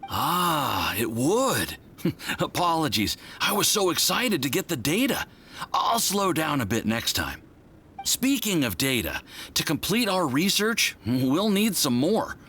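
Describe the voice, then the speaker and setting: A man's voice: on the high side, very animated, sharp tone, slight background noise, exaggerated voice. A man voicing an earnest young research assistant in a video game, enthusiastic and deferential. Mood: apologetic. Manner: enthusiastic, rushing, then deferential. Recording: studio game voice with music behind. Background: game music.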